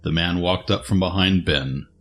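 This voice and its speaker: A man's voice: low voice, moderate pace, a bit bright, soft background music. A man narrating a scripted dramatic story as its narrator.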